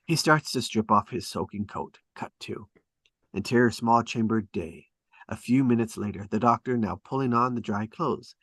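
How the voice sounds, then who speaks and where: A man's voice: on the low side, normal speed, fairly bright tone, dry recording. A man reading stage directions as dramatic scripted narration at a table read.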